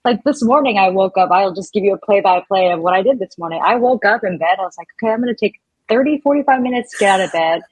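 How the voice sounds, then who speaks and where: Husky woman chatting as podcast guest. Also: medium pitch, expressive, fast, no echo, poor audio. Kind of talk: casual interview chat.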